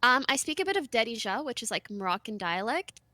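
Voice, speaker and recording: A woman in her twenties whose first language is French, a streamer chatting casually in an animated, giggly way and trailing off mid-sentence. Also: a little high, a little fast, dry recording, clean studio sound. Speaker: streamer guest. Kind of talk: casual chat.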